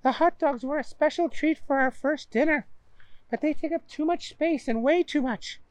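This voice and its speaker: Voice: high-pitched, moderate pace, no echo, pitched above the performer's own voice, natural voice, low-quality recording. A reader voicing a polite, patient woman crew member who explains things in a raised, careful voice. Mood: firm.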